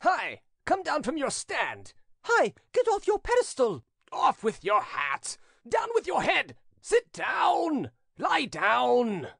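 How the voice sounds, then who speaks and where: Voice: wildly swooping pitch, slow pace, gravelly, no echo, studio quality, full character voice. A storybook narrator voicing jeering street hecklers who call out short, rude exclamations. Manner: shrill, shouted exclamations.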